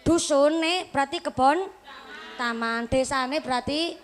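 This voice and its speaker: A Javanese-accented woman in her late twenties preaching to a live audience, her voice sitting high in pitch. She keeps things light and chatty, weaving jokes into the talk and speaking straight to the crowd. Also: slow pace, slight background noise. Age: late 20s. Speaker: Islamic preacher.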